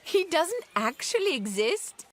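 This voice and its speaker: An actress playing a proud, girlish young Indian wife in a radio drama, with a theatrical, coquettish and excitable manner. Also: extremely high pitch, a little slow, full character voice. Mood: dreamy, excited.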